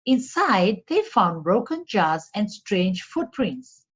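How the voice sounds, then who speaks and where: Slow, expressive woman reading a storybook. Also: mid-range voice, breathy edge, dull audio. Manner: deliberate, expressive read-aloud for children. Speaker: storyteller for a read-along. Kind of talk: scripted storybook narration.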